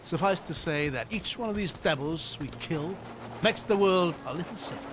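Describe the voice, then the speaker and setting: An actor playing a thoughtful, kindly older scholar-adventurer in a video game, articulate and wry. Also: male voice, fairly high pitch, expressive, normal speed, noisy background, natural voice. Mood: intent, curious. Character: learned, kindly older male scholar-adventurer, wistful about history. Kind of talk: video game cutscene acting. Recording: loud game score behind. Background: music.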